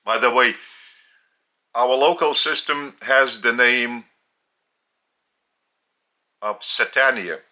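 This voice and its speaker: Male spiritual teacher, Lithuanian accent, slow, very bright tone. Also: mid-range voice. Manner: deliberate, halting, with long pauses.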